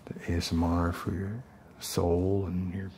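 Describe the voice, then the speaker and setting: A middle-aged man acting an unstable, falsely gentle survivalist in a soft-spoken ASMR roleplay, rambling haltingly. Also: deep voice, little pitch movement, slight background noise. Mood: tender, earnest. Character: unstable, falsely gentle self-appointed protector, survivalist loner.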